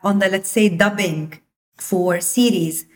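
A woman's voice: mid-range voice, takes it slowly. A woman from Jordan, the guest founder, explaining things in conversation with frequent use of "like". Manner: explanatory, uses filler "like" often. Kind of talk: interview conversation.

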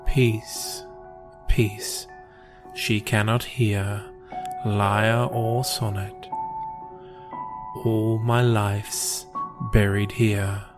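A low-voiced man gives a measured poetry reading with very little rise and fall. Soft, melancholy new-age music plays clearly beneath him. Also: level tone. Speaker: poetry podcast host and reader. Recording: music under the voice. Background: soft, sad new-age music.

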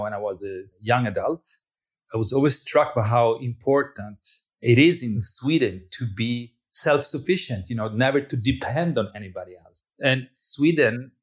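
A man's voice: medium pitch, husky. A man originally from Italy, a film director, talking thoughtfully in an interview with frequent restarts. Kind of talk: interview conversation.

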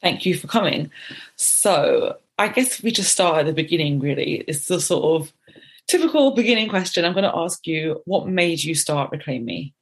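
A woman's voice: low voice, lively intonation, moderate pace, husky. A young adult woman hosting a podcast interview, encouraging and affirming her guest. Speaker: podcast host.

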